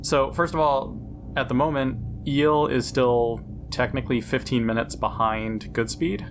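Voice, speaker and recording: A man's voice: medium pitch, little pitch movement, unhurried, faint music behind. A man talking through options casually as a player in a tabletop game.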